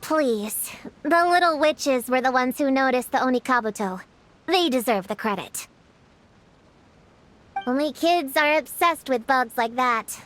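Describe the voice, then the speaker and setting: A woman's voice: high voice, expressive, measured pace, a bit bright. A woman voicing a bookish young girl in a video game, lecturing precisely and earnestly with some self-importance. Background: music.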